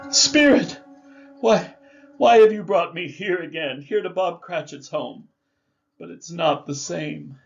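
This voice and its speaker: A man acting a frightened old miser pleading with a ghost, tremulous, halting and melodramatic, in a theatrical radio drama. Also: on the high side, gravelly, muffled recording, soft background music. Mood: fearful.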